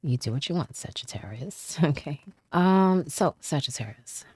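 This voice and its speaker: A woman giving a casual tarot reading, chatty, with frequent fillers, trailing pauses and light laughs, and sounding stuffy from a cold. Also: deep voice, animated, darkish tone, slightly rough, no room sound. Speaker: tarot reader.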